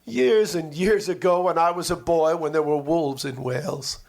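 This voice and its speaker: A man narrating a literary memoir expressively, with measured, dramatic phrasing and lingering words. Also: fairly high pitch, gravelly, darkish tone.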